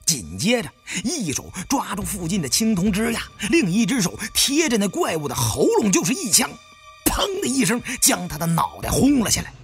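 Highly animated male audiobook narrator. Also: normal speed, creaky, soft background music. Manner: theatrical, suspenseful. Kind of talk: dramatic first-person adventure narration.

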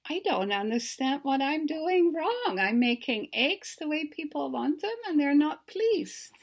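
Woman voicing a loud, puzzled storybook hen. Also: high-pitched, big pitch swings, measured pace, pitched above the performer's own voice, full character voice. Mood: baffled, hurt. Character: puzzled, stubborn female hen in a children's storybook.

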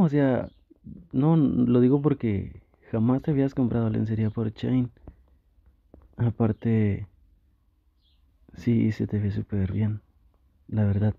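A flustered young gamer boyfriend with a Mexican accent, played by a man for an intimate audio roleplay. He speaks slowly and haltingly in a gravelly, coaxing voice. The recording is dry and slightly dull. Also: medium pitch, dry recording. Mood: surprised, admiring. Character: flustered, easily tempted gamer boyfriend, playfully pleading. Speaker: ASMR roleplay creator.

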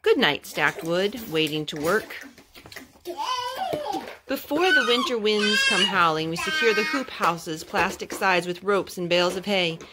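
A young woman reading a children's picture book aloud at home, phrase by phrase in a measured, careful way. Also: lowish voice, animated. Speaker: storytime host reading to her children. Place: carpeted room at home. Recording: clear background noise and clicks, homemade.

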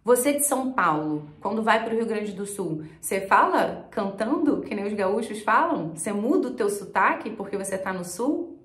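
A woman's voice: medium pitch, animated, moderate pace, reverberant. A young woman from Rio de Janeiro with a Carioca accent, teaching an online lesson straight to camera and pressing her points with rhetorical questions. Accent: Carioca Brazilian Portuguese. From Rio de Janeiro, Brazil. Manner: emphatic, talks straight to the viewer with rhetorical questions. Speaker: English teacher. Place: small indoor room with plants and shelves.

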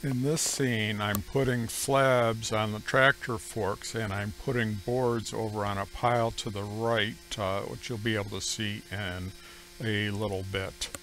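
A middle-aged man narrating how he runs his sawmill, speaking slowly and plainly with frequent pauses. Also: fairly low pitch, flat delivery, fairly bright tone. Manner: slow, measured, plain-spoken with frequent "uh" pauses. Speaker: homestead sawmill operator. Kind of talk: voice-over explaining sawmill operation.

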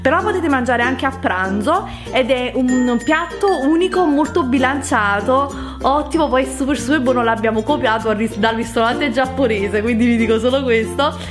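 A woman's voice: high-pitched, moderate pace, hardly any pauses. A woman in her thirties hosting a casual cooking vlog in her home kitchen, chatty and enthusiastic, repeating words for emphasis. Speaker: cooking channel host. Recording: echoey kitchen with music behind.

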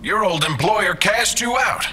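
An actor playing a smug, mocking mastermind employer in a scripted audio drama, with a theatrical, falsely polite, condescending manner. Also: male voice, high-pitched, lively intonation, a little slow, sharp tone, a little hiss or hum, exaggerated voice. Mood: taunting.

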